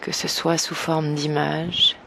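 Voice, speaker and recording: A woman guiding an evening meditation slowly and gently, in a soft, breathy voice that nears a whisper, with long pauses. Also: deep voice, flat delivery, a little hiss or hum. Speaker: meditation guide. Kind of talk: guided evening meditation, yoga nidra.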